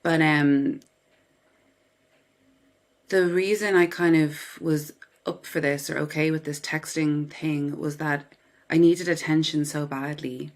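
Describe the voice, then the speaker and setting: Interview guest, female, Dublin Irish accent. Low pitch, conversational first-person storytelling. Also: fairly even intonation, slow. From Dublin, Ireland. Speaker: podcast guest, writer and psychotherapist.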